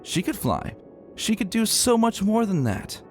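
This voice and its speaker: A man narrating a dramatic story in an expressive, emphatic and colloquial way. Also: high-pitched, measured pace. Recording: soft music behind narration.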